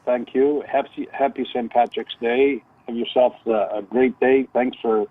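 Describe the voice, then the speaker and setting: A man's voice: mid-range voice, level tone, unhurried, no room sound. A man calling in to a radio show over the telephone, chatting casually and politely with some hesitation. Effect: phone line. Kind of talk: casual phone chat. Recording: thin, narrow-band phone line.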